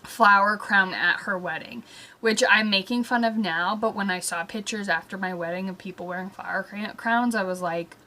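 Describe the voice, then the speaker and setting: A woman's voice: mid-range voice, normal speed, sharp tone. A young adult woman giving casual, rambling comic commentary in a mock-exasperated manner, leaning hard on certain words. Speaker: host of a comedic story-time show.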